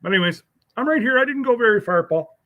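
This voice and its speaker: A man's voice: high voice, animated, fast talker, narrow, tinny audio. A man hosting a casual live stream, rambling along as he answers viewers by name, with many fillers and restarts. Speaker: livestream host.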